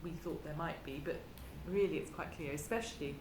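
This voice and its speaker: A woman's voice: deep voice, fairly quick. Hesitant woman chairing an academic panel. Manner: hesitant, restarts phrases. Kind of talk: academic discussion. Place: conference room. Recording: echoey hall with background noise.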